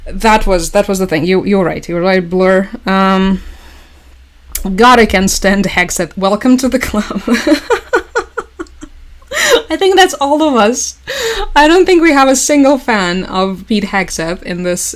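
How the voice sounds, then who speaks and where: Sarcastic woman. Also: medium pitch. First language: Russian. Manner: sarcastic, wry, quick to laugh. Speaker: livestream host. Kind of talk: casual news commentary to chat.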